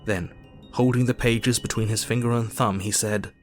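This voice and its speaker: An actor playing a sober Victorian doctor who narrates his diary in an audio drama, measured and formal. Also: mid-range voice, steady tone, soft background music, close to an everyday voice.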